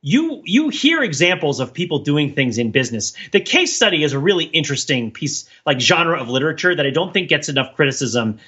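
A man with a bright, forceful voice and a husky edge chats as a podcast co-host. He explains ideas at length in a roaming, professorial manner. The recording sounds a little dull and lo-fi. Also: fairly high pitch, very animated, brisk, hardly any pauses. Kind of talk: casual analytical podcast chat.

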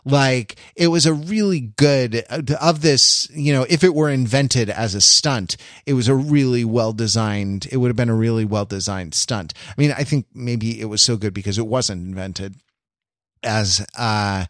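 An American man co-hosting a podcast in casual analytical discussion, wordy and intellectual, often restarting his sentences haltingly. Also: medium pitch, no room sound, clean studio sound. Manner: hesitant, halting delivery with repeated false starts. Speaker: podcast co-host.

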